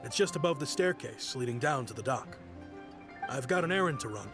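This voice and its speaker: An actor playing a prosperous, nostalgic businessman in a video game, speaking in a measured, reflective way. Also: medium pitch, lively intonation, lightly acted. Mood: warm, nostalgic. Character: prosperous, nostalgic adult businessman, loyal and generous. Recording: music behind the voice.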